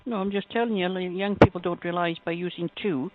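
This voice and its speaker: A woman's voice: lowish voice, steady tone, brisk, heavy background noise. A woman working as a helpline adviser, explaining things in a matter-of-fact way over the phone. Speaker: sexual health helpline adviser. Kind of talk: phone advice conversation. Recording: muffled, tinny phone line.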